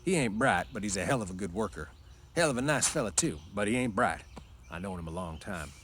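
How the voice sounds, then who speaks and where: A man's voice: lowish voice, lively intonation, fairly quick, fairly bright tone, slight background noise. A man doing a wary young farmhand. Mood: plain. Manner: plain, guarded, rough-spoken.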